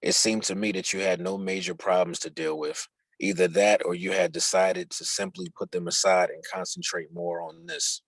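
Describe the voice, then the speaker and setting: A man reads instructional book dialogue aloud in a low, creaky voice. His tone is husky and rough, and the sound is dry and close with very little room in it. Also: animated, normal speed, few pauses, no echo. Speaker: reader of a book chapter.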